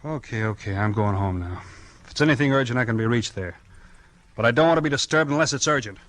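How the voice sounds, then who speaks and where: An actor playing a gruff, tough and weary small-town police chief, terse and bossy, in 1940s radio crime drama style. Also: male voice, medium pitch, animated, fast talker, background noise, natural voice. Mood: brisk, weary.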